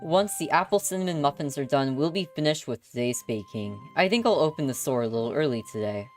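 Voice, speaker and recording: A woman's voice: low-pitched, lively intonation, a little fast, a little mellow, faint music behind. A woman reading a visual novel aloud as a young witch who runs a bakery, patient and mildly exasperated. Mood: calm, narrating.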